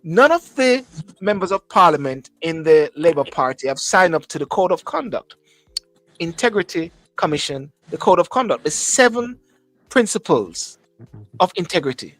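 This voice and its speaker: Emphatic high-voiced Jamaican male host. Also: sing-song, moderate pace, a bit bright, faint music behind, rough recording. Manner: emphatic, repeats phrases for stress. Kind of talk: live call-in talk show discussion.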